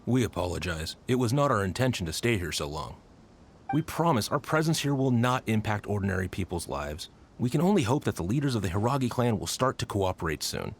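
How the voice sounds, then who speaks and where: A man's voice: fairly low pitch, very animated, a little fast, a little hiss or hum. A man voicing a stern but polite soldier in a video game, official and restrained. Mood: formal, polite.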